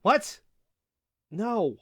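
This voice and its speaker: A man's voice: sits high, very animated, very slow, a bit bright, breathy edge, heavily acted. An actor playing a defensive young man with conspiracy theories, insistent in an audio drama. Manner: insistent, defensive, voice jumping up when accused.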